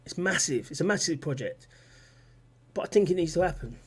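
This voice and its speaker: A man's voice: on the high side, expressive, brisk, slightly rough. A man hosting a casual livestream, rambling and repeating himself as he talks and reads out chat comments. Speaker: livestream host. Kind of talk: casual political livestream talk.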